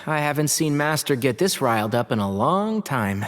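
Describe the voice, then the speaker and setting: A man's voice: medium pitch, big pitch swings, normal speed, natural voice. An actor playing a thoughtful, level-headed young male student. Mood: calm.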